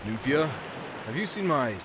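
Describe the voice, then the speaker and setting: A man acts out a proud Viking god prince for a children's story show. His delivery swoops widely, grandiose and mock-stern yet genial, at a medium male pitch, with clear rushing noise behind him. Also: expressive, lightly acted. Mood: annoyed. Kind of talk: theatrical children's character acting.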